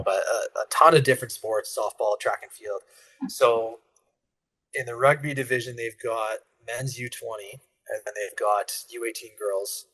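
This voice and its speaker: A man's voice: lowish voice, a bit bright, husky, low-quality recording. A man who leads a rugby federation, a guest in a casual interview, explaining things earnestly and step by step with many fillers.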